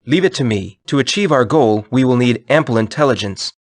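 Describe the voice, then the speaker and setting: A man's voice: medium pitch, expressive, dry recording, close to an everyday voice. Confident, calculating military commander played by a man. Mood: composed. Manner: formal, measured, decisive. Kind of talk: video game voice acting.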